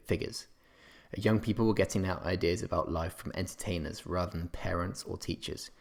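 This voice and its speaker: A man narrating a scripted history revision lesson as a tutor, explaining in a somewhat halting way. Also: deep voice, creaky.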